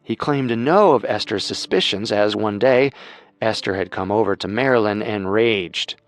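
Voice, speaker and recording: Low-pitched male true-crime narrator. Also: normal speed. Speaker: narrator, co-host. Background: music.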